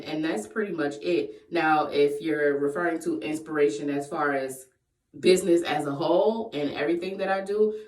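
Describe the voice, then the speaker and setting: A woman of twenty-eight chatting casually in a question-and-answer vlog, playful and quick to laugh. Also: low voice. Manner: playful, laughs often, breaks into sung bits. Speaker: vlogger. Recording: echoey room, voice far from the mic.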